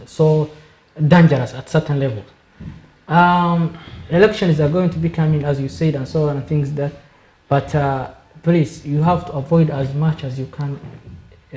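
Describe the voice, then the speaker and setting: A man from Somalia, a guest on a panel discussion, speaking in a measured way and repeating words while he searches for them. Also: on the high side, dark tone, reverberant, rough recording. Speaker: studio guest.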